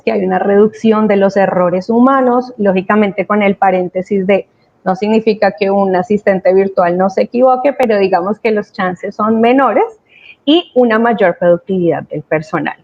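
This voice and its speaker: A woman's voice: mid-range voice, moderate pace. A woman with a Latin American accent speaking as a webinar panelist, explaining her points with emphasis. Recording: dull, lo-fi online call sound.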